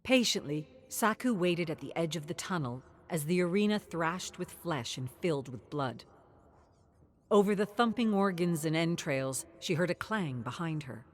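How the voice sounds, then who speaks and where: A woman reading dark fantasy lore as scripted narration, measured and grave, slowing down on the key words. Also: on the low side, animated.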